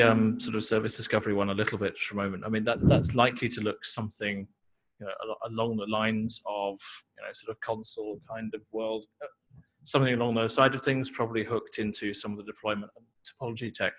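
A man's voice: on the low side, steady tone, quick pace, fairly bright tone, poor audio. A man answering audience questions at a tech meetup, casual and explanatory, full of "you know" and "sort of". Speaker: tech talk co-presenter. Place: meetup talk venue indoors.

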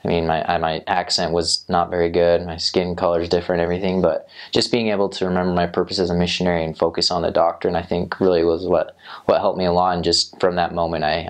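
A man's voice: sits low, vocal fry. A young man on a lapel mic in a small studio, answering interview questions about his time as a missionary, rambling with many fillers and restarts. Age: young adult. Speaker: returned missionary. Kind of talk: interview answers, casual recollection. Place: small indoor studio set.

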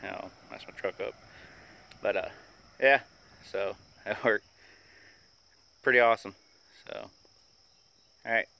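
A man's voice: fairly low pitch, takes it slowly, creaky, long pauses. A man in his thirties casually talking through a how-to outdoors in a rural yard, with lots of uh fillers, recorded on a handheld phone. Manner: relaxed, rambling, many "uh" fillers. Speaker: homesteading vlogger. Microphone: phone camera held at arm's length. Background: crickets and insects.

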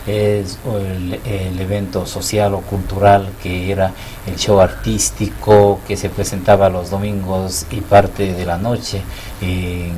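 A middle-aged man interviews a guest in a small TV studio, speaking into a desk microphone. His voice is very low, and he asks long, formal questions padded with filler sounds, with a faint hiss underneath. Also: warm tone. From Ecuador. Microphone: desk mic on stand.

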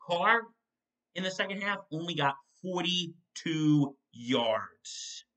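A young man hosting a solo football postgame recap from his home kitchen, reading stat lines in quick lists and correcting himself as he goes. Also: fairly high pitch, lively intonation, pushed and bright, rough recording. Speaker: sports show host. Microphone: desk mic in front of a webcam.

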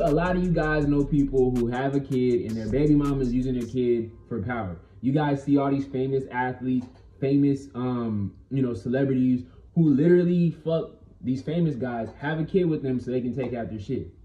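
Bright-voiced young American man, echoey room. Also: mid-range voice, normal speed, lo-fi sound, faint music behind. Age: young adult. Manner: blunt, slangy, heavy swearing, emphatic. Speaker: video channel host. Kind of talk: casual opinion monologue to camera. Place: small bedroom with bare walls.

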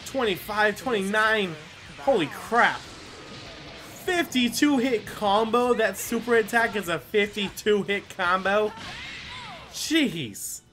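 A man's voice: high voice, a bit bright. A man hosting a gameplay video with casual, rambling commentary, full of exclamations and mild swearing. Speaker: gaming video host.

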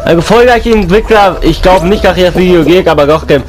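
A man's voice: sits high, a little fast, soft background music. Chatty young man with a slight cold. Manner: chatty, repetitive, rhyming and chanting lines; excited outbursts while playing. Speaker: gaming YouTuber. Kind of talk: casual gameplay commentary.

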